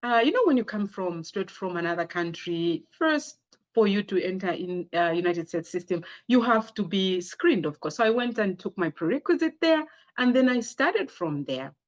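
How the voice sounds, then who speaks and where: A woman's voice: mid-range voice, lively intonation, normal speed. Zambian-accented woman presenting, slightly dull audio. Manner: conversational, often addressing the listeners with rhetorical questions. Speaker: speaker sharing her career story with girls. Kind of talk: informal presentation with slides.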